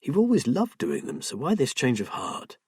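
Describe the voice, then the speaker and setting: A man playing a hurt but steady husband. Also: moderate pace, husky, dry recording, clean studio sound, full character voice. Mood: hurt, soothing. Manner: firm, coaxing, low-key.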